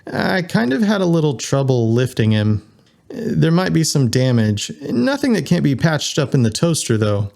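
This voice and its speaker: Casting: man to voice a cheeky male corgi space thief for an audiobook, pitch lifted, cocksure. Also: very animated, normal speed, creaky, darkish tone. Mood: casual, sheepish.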